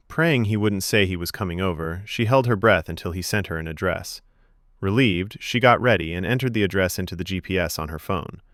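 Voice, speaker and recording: Deep-voiced man narrating fiction. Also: fairly bright tone, no room sound, studio quality. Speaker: narrator of a serialized fiction podcast.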